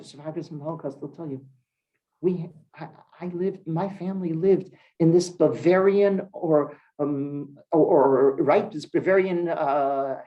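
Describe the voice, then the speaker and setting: A man from Cleveland, a rabbi teaching a congregation, who stresses key words and then drops his voice low. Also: darkish tone, muffled recording. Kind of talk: Torah class sermon. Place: synagogue hall with congregation.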